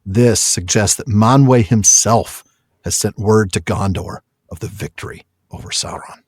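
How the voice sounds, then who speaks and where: A man's voice: fairly low pitch, a little slow, darkish tone, breathy edge, dry recording. A man co-hosting a podcast, talking casually and with effusive enthusiasm about a book. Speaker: podcast co-host.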